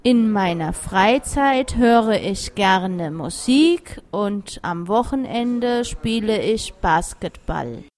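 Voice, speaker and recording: Middle-aged woman, language teacher, reads super slow and careful, plain voice. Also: medium pitch, expressive, a little mellow. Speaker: German teacher. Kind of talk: scripted monologue for a listening exercise.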